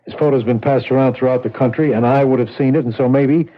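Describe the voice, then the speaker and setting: Actor playing a dogged, blunt police lieutenant, old radio drama, tinny sound. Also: male voice, medium pitch, steady tone, fast, close to an everyday voice. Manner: blunt, matter-of-fact questioning. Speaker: police detective. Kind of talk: 1970s radio drama acting.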